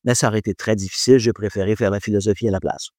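A man's voice: lowish voice, fairly even intonation, rapid-fire, darkish tone, no room sound, clean studio sound. A man gives a reflective talk on faith and philosophy to an audience, hesitating often and joking about himself. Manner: hesitant, many fillers, self-deprecating humour.

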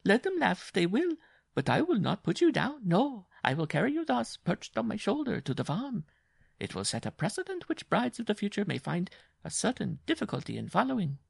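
A strapping, brash bride performed by a male narrator taunts in a theatrical storybook style. The pitch is lifted far above the man's natural low register, with a boisterous, domineering swagger and a dry, close sound. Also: wildly swooping pitch, brisk, creaky, slightly rough, no room sound, exaggerated voice. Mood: boastful, taunting. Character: big strong young blonde bride, brash, mocking, domineering.

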